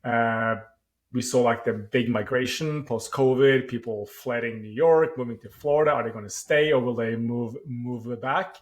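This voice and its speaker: A man in his forties from Norway with a Norwegian accent, a founder answering podcast interview questions thoughtfully, often pausing with "uh" and restarting phrases. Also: mid-range voice. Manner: thoughtful, with frequent "uh" pauses and restarts. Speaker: startup founder.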